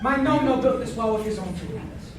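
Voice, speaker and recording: A woman's voice: fairly high pitch, fairly quick, close to an everyday voice. A woman playing a pompous, insulting male restaurant don, telling family legend grandly in an amateur stage comedy. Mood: pompous, scornful. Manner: grandiose storytelling, emphatic. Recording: very echoey stage, distant mic, clear noise.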